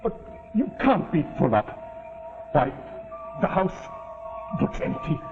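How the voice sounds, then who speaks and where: A man's voice: a little high, big pitch swings, slow pace, full character voice. A man playing a desperate stranded husband, urgently pleading for shelter in a 1940s television suspense drama. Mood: insistent pleading. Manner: urgent, insistent pleading with stammered repeats. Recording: tinny 1949 television soundtrack with music.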